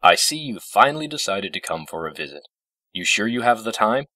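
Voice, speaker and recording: Man acting bitter male creature, curt, protective, low voice, storybook, distant mic. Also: lively intonation, a little fast, pushed and bright, no echo. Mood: spiteful. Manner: curt, spiteful.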